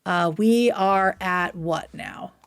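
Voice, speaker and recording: A woman's voice: on the low side, slow pace, creaky, smooth, no room sound. A woman hosting a livestream, chatting casually and informally with viewers, with some swearing. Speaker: livestream host. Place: indoors at home.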